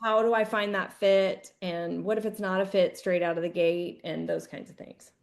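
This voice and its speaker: A woman co-hosting a podcast, chatting casually and thinking out loud, often restarting her sentences and acting out little quoted asides. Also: on the low side, fairly even intonation, normal speed, creaky. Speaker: podcast co-host.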